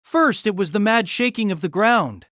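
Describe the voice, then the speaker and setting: A man's voice: sits high, animated, normal speed, sharp tone, no room sound, studio quality. A man narrating a fantasy novel as a dramatic, scripted audio drama.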